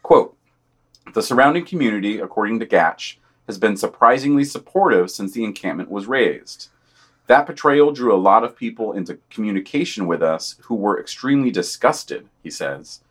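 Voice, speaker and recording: Wry, lowish-voiced man reading podcast news. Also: lively intonation, normal speed, a bit bright, breathy edge. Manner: wry, sardonic. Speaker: podcast co-host. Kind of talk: scripted news commentary, reading aloud.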